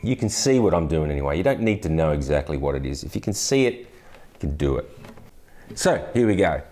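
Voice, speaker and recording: A man, teaching guitar in a relaxed, chatty way with plenty of restarts, on a lapel mic in a studio. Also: a bass voice, a little fast. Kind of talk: casual guitar tutorial. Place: indoor studio, brick wall backdrop. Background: acoustic guitar playing.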